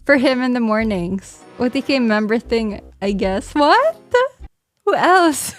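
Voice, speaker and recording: A woman with a Filipino accent, a livestreamer reading viewer confessions aloud and reacting bluntly. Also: fairly high pitch. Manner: blunt and exasperated in reactions, mocking the writer.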